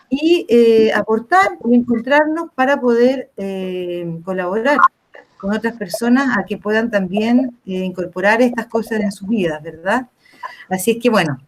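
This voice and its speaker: A woman with a Chilean accent moderating an online panel, talking casually with many fillers and restarts. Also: fairly high pitch. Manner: casual, filler-heavy, fast with pauses. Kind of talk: meeting introduction.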